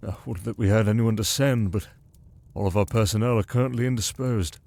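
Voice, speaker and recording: Man playing a low-voiced, proper, protective retainer. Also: fairly even intonation, a little fast, gravelly, a little mellow. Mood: grave, formal. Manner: formal, proper, dutiful. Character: protective, exasperated retainer to a noble lady. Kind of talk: fantasy political audio drama acting.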